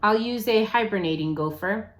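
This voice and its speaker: A woman's voice: mid-range voice, normal speed, natural voice. A woman voicing a stubborn, glum young boy from a storybook who gives terse, flippant answers.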